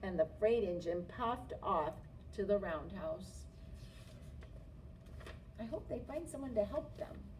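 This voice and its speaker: A woman's voice: medium pitch, expressive, takes it slowly, pauses often, darkish tone. A woman storyteller reading a picture book aloud expressively for children. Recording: distant mic, faint noise.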